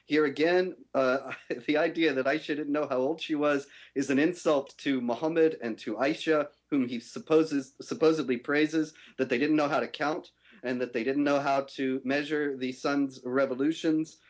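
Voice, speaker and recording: A man giving a firm, measured rebuttal in a televised debate, often pausing on "uh". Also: fairly high pitch, steady tone. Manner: measured, firm, many uh fillers. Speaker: debate guest.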